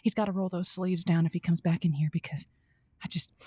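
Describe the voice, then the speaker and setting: An actress playing a radio advice host who is dramatic, effusive and easily flustered, in a scripted audio comedy. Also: female voice, on the low side, fairly even intonation, a little fast, warm tone, breathy edge, no echo. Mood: flustered, defensive.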